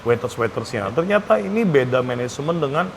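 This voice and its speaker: A man's voice: medium pitch, very animated, a little fast, slight background noise. A man with a Jakarta Indonesian accent presenting a food vlog in a casual, slangy, chatty way, often tagging sentences with "ya".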